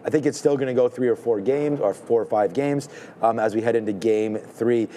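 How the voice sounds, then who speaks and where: A man hosting a live show, chatting in a relaxed, rambling way with fillers. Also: mid-range voice, a little fast, a little hiss or hum. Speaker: show host signing off. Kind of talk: casual show chat.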